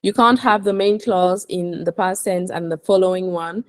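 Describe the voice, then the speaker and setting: A woman's voice: lowish voice, moderate pace, no echo. Woman teaching English one-to-one, dry close sound. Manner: instructive, correcting, enunciates words for repetition. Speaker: English teacher coaching aviation English. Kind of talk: one-to-one language lesson.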